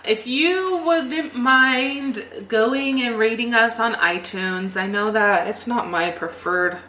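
A young woman co-hosting a casual podcast chat, bubbly and giggly with quick asides. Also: fairly high pitch, pushed and bright, slight background noise. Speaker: podcast co-host. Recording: roomy echo with faint ambience.

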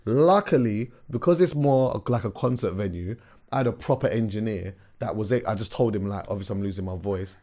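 A young man, hoarse from a recent illness, telling a story in animated, slangy casual chat as a podcast host. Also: on the low side, fairly quick. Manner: animated storyteller, slangy, calls everyone bro.

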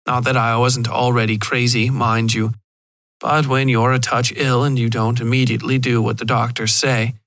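A man's voice: medium pitch, fairly bright tone, no echo. A man reading scripted first-person horror fiction as a podcast narrator, close to the microphone. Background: faint music.

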